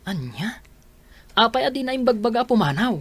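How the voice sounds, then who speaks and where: A woman's voice: on the high side, big pitch swings, brisk, breathy edge, no echo. A woman playing an inquisitive, concerned acquaintance in an amateur audio drama, with an Ilocano accent. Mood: puzzled, disapproving.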